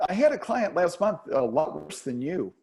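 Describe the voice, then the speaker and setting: A man's voice: fairly high pitch, big pitch swings, moderate pace, vocal fry, a little mellow, slight background noise. A man reading a play as a charming, patient, flirtatious dance teacher who teases and encourages his pupil. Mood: chatty, playful. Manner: teasing, encouraging. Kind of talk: play reading, romantic comedy.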